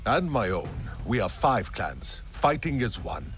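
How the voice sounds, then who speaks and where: Solemn clan leader, deep, measured, expressive intonation, video game drama. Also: male voice. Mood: solemn, proud. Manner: grave, measured. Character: solemn, reassuring male alien clan leader.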